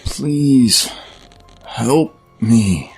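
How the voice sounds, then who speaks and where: An actor playing a confused, desperate male leader in a science fiction audio drama, speaking in a halting, strained way. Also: mid-range voice, animated, gravelly, husky, heavily acted, low-quality recording. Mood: desperate, weak. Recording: music under the voice.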